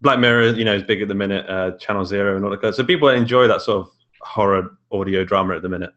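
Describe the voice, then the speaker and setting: Low-pitched British man chatting casually. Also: steady tone, racing, a bit bright, muffled recording. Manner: hesitant, repeats words. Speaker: podcast guest, indie horror author. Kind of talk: casual chat.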